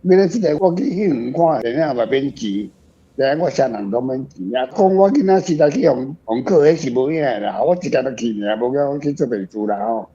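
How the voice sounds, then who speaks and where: A man's voice: medium pitch, animated, a little fast, dark tone, slight background noise. An older man with a Taiwanese accent talking casually and reflectively as an author, often adding tag questions. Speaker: author, guest. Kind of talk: casual reflective talk on own writing. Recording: muffled, slightly dull sound.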